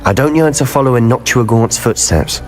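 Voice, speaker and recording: A man's voice: medium pitch, moderate pace, faint music behind, natural voice. An actor playing a bold, bookish young male wizard student in a video game, speaking. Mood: resolute. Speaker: game character.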